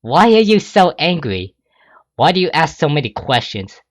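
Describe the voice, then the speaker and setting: A man reading a story aloud and voicing a brash, tomboyish young pegasus mare who yells when angry. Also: on the high side, sing-song, dry recording, voice pushed up. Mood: pressing. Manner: loud, indignant, quick to yell.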